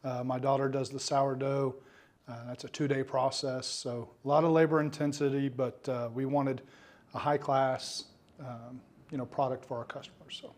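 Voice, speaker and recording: A man's voice: medium pitch, level tone, normal speed, a little mellow. A man who owns a family bakery, answering interview questions politely and modestly. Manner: polite, says "yes, sir", modest. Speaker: bakery owner, guest.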